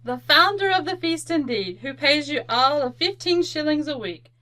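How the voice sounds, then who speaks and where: A woman's voice: high-pitched, animated, moderate pace, bright, forceful tone. An actress playing a fond, bustling, outspoken working-class mother in a radio drama. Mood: indignant. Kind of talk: old-time radio drama acting.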